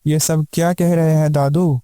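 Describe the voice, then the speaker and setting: A man's voice: fairly high pitch, level tone, moderate pace, warm tone, clean tone, no room sound, studio quality, lightly acted. A man playing a worried, suspicious village man in a cartoon moral story, emphatic and questioning. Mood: sceptical. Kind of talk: Hindi animated moral story acting.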